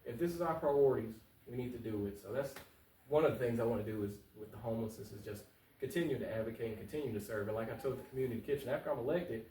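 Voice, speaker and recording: A man's voice: mid-range voice, rapid-fire, dark tone. An American man giving earnest, informal interview answers as a political candidate, repeating phrases for emphasis. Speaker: political candidate, talk show guest. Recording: roomy echo, distant mic, faint noise.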